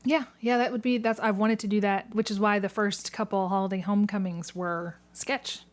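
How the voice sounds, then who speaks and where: A woman's voice: medium pitch, steady tone, moderate pace. A woman in her late thirties hosting a casual interview, chatting in a playful, enthusiastic way. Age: late 30s. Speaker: podcast host, theatre director.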